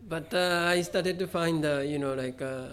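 A middle-aged man with a Japanese accent speaks in a slightly higher register than most men. He talks haltingly, with frequent fillers and restarts, as a guest in an interview. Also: a bit bright, clean tone. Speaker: visual artist, interview guest. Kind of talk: conversational interview.

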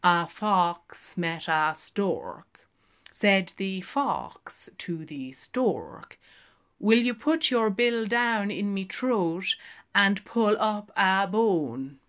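A woman's voice: mid-range voice, expressive, drawn-out words, a bit bright, voice pushed up. A woman reader voicing a florid, wheedling male barfly in a literary audiobook, theatrical and grandiloquent. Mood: droning.

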